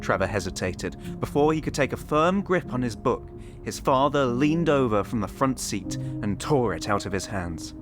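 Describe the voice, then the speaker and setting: Male narrator for scripted fiction, medium pitch, with a musical bed underneath. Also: expressive, moderate pace, over music. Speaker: story narrator.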